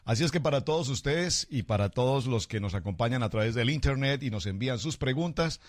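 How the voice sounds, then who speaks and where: Male pastor-host, formal and affirming, mid pitch, dry studio sound. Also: animated, brisk, dry recording. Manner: formal, effusive greetings, affirming fillers. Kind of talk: formal radio interview hosting.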